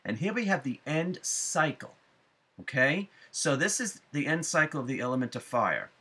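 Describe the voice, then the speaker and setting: A middle-aged man giving a spiritual forecast to viewers, speaking deliberately with emphatic phrasing and frequent pauses. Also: on the high side, sing-song, bright, forceful tone, breathy edge. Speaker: astrology forecaster and psychic medium. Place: small room at home, indoors.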